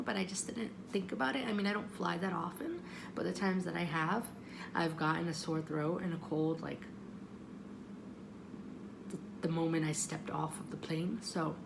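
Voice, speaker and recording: Female vlogger, casual and digressive, gravelly fry, self-interrupting, with clear background noise. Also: on the low side. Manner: self-interrupting, repeats phrases for emphasis. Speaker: vlogger giving audition advice. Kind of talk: casual rambling advice chat.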